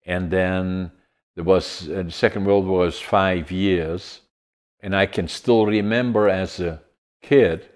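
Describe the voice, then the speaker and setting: A man's voice: low-pitched, steady tone, slow. A man in his eighties with a Dutch accent, hosting a casual interview over a video call, with many fillers and restarts. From Netherlands. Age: 80s. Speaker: podcast host. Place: home room with large window.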